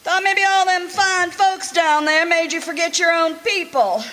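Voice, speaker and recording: A woman's voice: very high-pitched, a little slow, sharp tone, full character voice. A woman acting in a radio drama as a cheerful, teasing older country aunt who talks in homespun rustic dialect. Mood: delighted, teasing. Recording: noisy live amateur stage recording.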